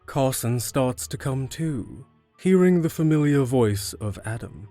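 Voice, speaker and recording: Guy narrating an audio drama, slow pace, quiet music underneath. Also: mid-range voice, animated, no echo.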